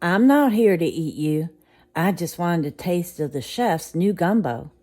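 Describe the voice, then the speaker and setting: A woman voicing a big, good-natured alligator in a bedtime storybook, in a calming tone. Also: fairly low pitch, measured pace. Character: big friendly trumpet-playing alligator.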